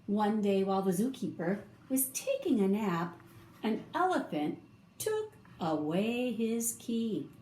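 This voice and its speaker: Woman, children's story reader, expressive, animated intonation, roomy sound, faint background noise. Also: mid-range voice. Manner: expressive, drawn-out emphasis for young listeners. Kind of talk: children's picture book read-aloud.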